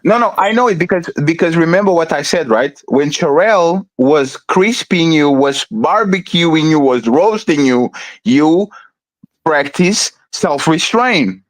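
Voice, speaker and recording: Guy hosting a podcast argument, higher voice, keeps hammering the same question. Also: animated, unhurried. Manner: pressing, repeats questions, talks over others. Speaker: podcast host. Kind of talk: heated debate.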